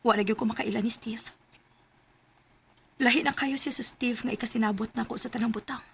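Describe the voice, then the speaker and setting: Hurt young sweetheart, high voice, Cebuano accent, emotional and pleading, radio drama romance. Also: female voice, steady tone, a little fast, gravelly, breathy edge, heavily acted. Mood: sad, brooding. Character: hurt, devoted young woman in a troubled romance. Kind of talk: Filipino radio drama acting.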